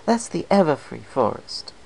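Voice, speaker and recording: A narrator putting on a genteel, high-pitched lady pony. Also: sing-song, normal speed, creaky, darkish tone, a little hiss or hum, rough recording. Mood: certain.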